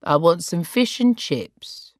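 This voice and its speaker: A woman's voice: fairly low pitch, big pitch swings, slow pace, no echo, clean studio sound. A woman doing a fussy eighty-year-old grandmother. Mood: suspicious. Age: 80s. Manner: fussy, demanding. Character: cranky, forgetful grandmother turning eighty.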